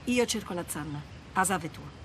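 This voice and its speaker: A woman's voice: on the low side, animated, moderate pace, a bit bright, a little hiss or hum. A woman playing a wry, confident, teasing treasure hunter in an Italian video game dub. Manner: wry, self-assured, teasing. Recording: background music under dialogue.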